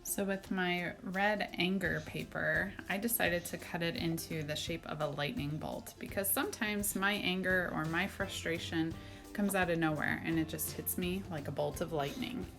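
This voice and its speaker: A woman's voice: fairly low pitch, normal speed, creaky, a bit bright. A woman art teacher narrating a children's craft tutorial, explaining simply and step by step. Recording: music behind the voice. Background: background music.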